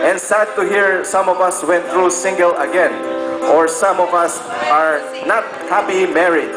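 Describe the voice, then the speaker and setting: Loud instrumental music plays behind a high-pitched Filipino man in an echoey room. He reads a letter aloud with a Filipino accent, in a playful and slightly stumbling manner, dropping in joking asides as a native Tagalog speaker would among friends. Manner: playful, stumbling, breaks into chuckles and side comments. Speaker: reader of a friend's message.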